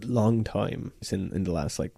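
A man's voice: low-pitched, moderate pace, creaky, dark tone, slight background noise. A man in casual podcast chat, explaining things hesitantly with lots of fillers and restarts.

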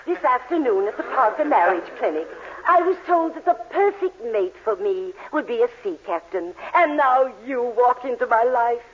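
An actress playing a gushing, romantically determined spinster in a 1940s radio comedy, with a dramatic manner. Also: female voice, fairly high pitch, lively intonation, normal speed, hardly any pauses, natural voice. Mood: smitten. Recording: muffled, hissy vintage radio recording.

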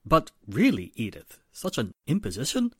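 A genteel, courteous older patriarch played by a man in an old radio drama. His medium-pitched voice rises and falls expressively, recorded dry and close. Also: huge pitch swings, moderate pace, fairly bright tone, breathy edge, no echo, heavily acted. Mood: puzzled, hesitant. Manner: formal, courteous, hesitant. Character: genteel, apologetic older male society patriarch. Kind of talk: 1940s radio drama acting.

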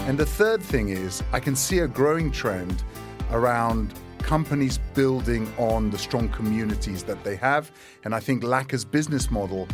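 A man speaks in a medium-pitched voice as an investor giving a sponsor testimonial. He explains his reasons point by point. Music plays clearly behind him. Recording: music bed under the voice.

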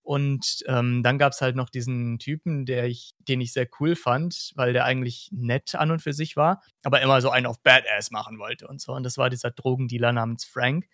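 A man's voice: medium pitch, fairly even intonation, moderate pace, hardly any pauses, a bit bright, dry recording. Man chatting casually, close dry sound. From Hesse, Germany. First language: German. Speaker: podcast host. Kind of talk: casual podcast chat.

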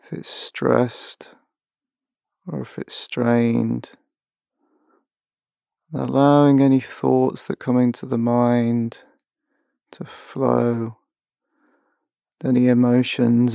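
A man leading a guided mindfulness meditation, giving gentle, measured instructions with long pauses. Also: mid-range voice, monotone, gravelly, no room sound, clean studio sound.

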